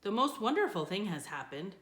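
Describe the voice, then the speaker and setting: A woman voicing an eager, excitable and stubborn young girl in a storybook. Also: medium pitch, a little fast, voice pushed up. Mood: excited. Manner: eager and impatient. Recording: echoey room.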